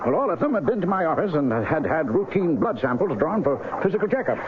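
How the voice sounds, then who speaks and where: An actor playing a troubled, weary, kindly aging doctor, speaking in a folksy, musing way in an old-time radio drama. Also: male voice, on the high side, sing-song, fast. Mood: weary, concerned. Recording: tinny, noisy vintage radio recording.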